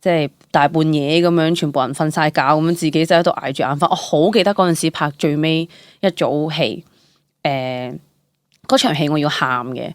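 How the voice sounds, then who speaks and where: A young woman with a Hong Kong Cantonese accent, an actor telling stories casually as an interview guest, chatty and expressive, dropping in English words. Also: low-pitched, moderate pace, no room sound, clean studio sound. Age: young adult.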